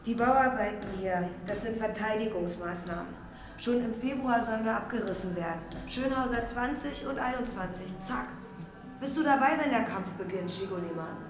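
An actress playing a brisk, conspiratorial young squatter showing someone around in a radio drama. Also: female voice, on the high side, music in the background, natural voice. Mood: conspiratorial, eager. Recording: echoey space with background ambience.

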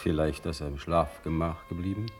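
An actor playing a dignified, fatherly ruler in a classic radio drama, speaking with measured authority. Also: a bass voice, steady tone, faint music behind. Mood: gentle. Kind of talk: classic German radio play stage acting.

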